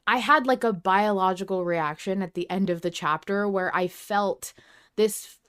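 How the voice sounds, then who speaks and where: A woman's voice: medium pitch, normal speed, a bit bright, smooth. A woman hosting a podcast, talking casually and earnestly as a fan, open about her feelings. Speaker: podcast host.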